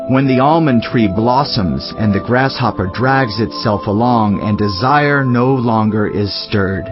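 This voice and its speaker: An actor voicing a solemn, wise teacher in a dramatized scripture reading, speaking in a measured, declamatory way. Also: male voice, lowish voice. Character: solemn male Teacher, wise biblical sage reflecting on life. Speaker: scripture reader. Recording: dull lo-fi audio over music.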